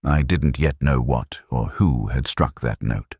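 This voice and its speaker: A man playing a weary, literate police inspector, narrating a detective drama in a measured, melancholy, literary way. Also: extremely low pitch, a little mellow, slightly rough, no echo, studio quality, exaggerated voice. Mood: brooding, reflective. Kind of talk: scripted first-person detective narration.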